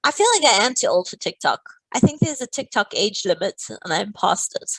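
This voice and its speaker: A woman's voice: medium pitch, sing-song, brisk, husky, no room sound, low-quality recording. A woman teaching a live online maths lesson, playful and teasing, walking through each step.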